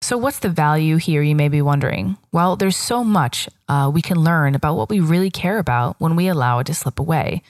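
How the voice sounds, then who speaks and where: Podcast host, woman, 30s, low pitch, reflective storyteller, dry booth sound. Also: lively intonation, brisk, hardly any pauses, darkish tone, dry recording. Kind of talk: scripted solo podcast monologue.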